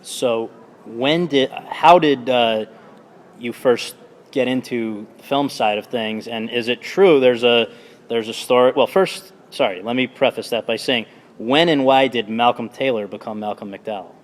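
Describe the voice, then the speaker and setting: A man's voice: medium pitch, unhurried. A man interviewing an actor, asking friendly, roundabout questions and chiming in with short agreements. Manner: friendly, brief affirmations, hedged wordy questions. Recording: long hall reverb.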